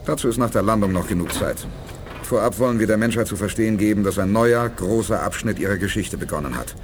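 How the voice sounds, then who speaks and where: An actor playing a resolute male spaceship commander in a 1980s German sci-fi radio play, speaking with measured authority. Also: fairly low pitch, a little hiss or hum, natural voice. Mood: solemn, resolute.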